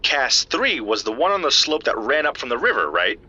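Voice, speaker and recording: A man's voice: medium pitch, sing-song, moderate pace, bright, forceful tone, breathy edge, dull audio, heavily acted. A man playing an earnest, geeky scientist in an audio drama, explaining evidence to a friend in precise technical detail. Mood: assured, wry. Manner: precise, technical, lecturing yet familiar. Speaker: scientist analysing footprint casts.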